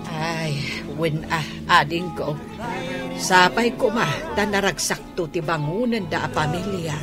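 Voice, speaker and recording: A woman's voice: fairly low pitch, unhurried, over music, lightly acted. A woman with an Ilocano accent playing an older mother, fretful and scolding, with sighing interjections in a radio drama. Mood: pleased. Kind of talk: Filipino radio drama acting.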